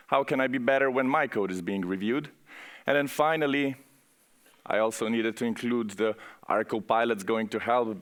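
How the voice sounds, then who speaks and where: A man with a Slovenian accent presenting at a conference in an informal, conversational way, speaking directly to the audience. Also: medium pitch. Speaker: conference speaker, software architect. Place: conference session room, on stage.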